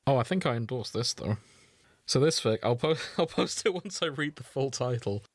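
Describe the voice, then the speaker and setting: A man talks in a medium-pitched voice with very little room sound. He banters casually as a podcast co-host, reading things out and reacting with sweary mock exasperation. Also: expressive, normal speed, no room sound, clean studio sound.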